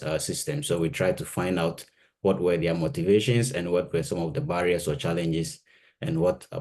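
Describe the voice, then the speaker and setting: A man from Ghana in his thirties, a postdoctoral researcher giving a measured conference presentation with frequent "uh" pauses. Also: low-pitched, a little mellow.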